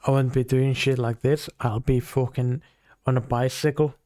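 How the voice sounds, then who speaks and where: A man's voice: mid-range voice, flat delivery, normal speed, dark tone. A drunk man with a Finnish accent, a streamer giving crude, joking reaction commentary. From Finland. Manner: crude, swearing, joking, laughing.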